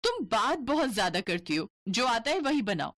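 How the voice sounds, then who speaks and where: A haughty, scheming sister-in-law from a cartoon tale, acted out by a woman. She taunts and orders people about with jealous relish, her pitch raised and swinging widely. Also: very animated, normal speed, fairly bright tone. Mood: taunting, bossy. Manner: taunting, bossy, cackling.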